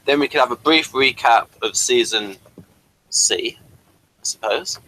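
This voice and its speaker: A man with a very bright, forward voice at a middle pitch talks casually as a fan co-host. He rambles through trivia haltingly, often restarting and repeating his phrases. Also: a little hiss or hum, poor audio. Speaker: podcast co-host. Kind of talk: casual fan discussion with behind-the-scenes trivia.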